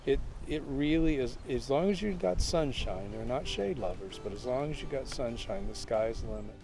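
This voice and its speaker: A man's voice: mid-range voice, expressive, normal speed, creaky, music in the background. A man talking enthusiastically about growing daylilies in an interview. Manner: enthusiastic, chatty, laughing. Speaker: daylily grower.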